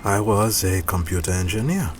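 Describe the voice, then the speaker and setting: An actor playing a gentle, courteous homeless man, once an engineer, with polite, formal speech in an audio drama. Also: low voice, expressive, normal speed, soft background music. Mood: wistful.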